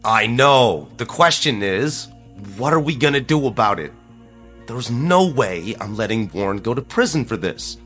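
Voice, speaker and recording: A man's voice: medium pitch, big pitch swings, unhurried, a bit bright, soft background music. An actor playing a protective, decisive man in an audio drama, earnest and urgent. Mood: worried, determined. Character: protective, decisive man covering for his troubled cousin.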